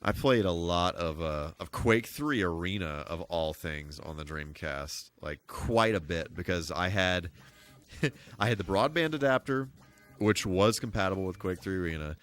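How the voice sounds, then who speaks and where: A man with a low voice hosts a casual game live stream. He talks in a rambling, halting way, thinking aloud as he goes and using mild, folksy exclamations. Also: expressive, fairly bright tone, soft background music.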